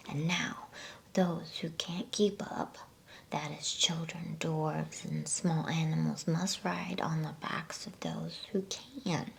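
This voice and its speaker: A woman voicing a calm, commanding male lion leader, measured and authoritative, in a whispered bedtime storybook. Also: deep voice, vocal fry, exaggerated voice. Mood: resolute, calm.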